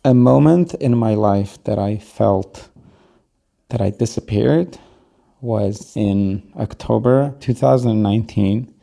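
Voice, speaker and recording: A young man who grew up in upstate New York with Yiddish as his first language, reflecting thoughtfully on his life as an interview guest, pausing often. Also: on the low side, creaky, warm tone. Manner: slow, thoughtful, with pauses and fillers. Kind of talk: reflective personal storytelling.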